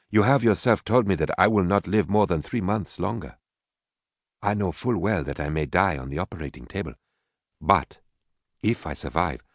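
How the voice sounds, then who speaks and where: A man voicing a courteous, resolute, gravely ill pharmacist with a British accent and a formal, pleading manner. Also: deep voice, no room sound, studio quality.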